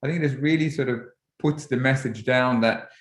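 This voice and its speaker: A man in his forties, originally from Egypt, giving an orthodontics webinar lecture in a measured way with frequent ums and restarts. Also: medium pitch. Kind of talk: relaxed presentation over slides. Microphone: computer mic on a video call. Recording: roomy video-call audio.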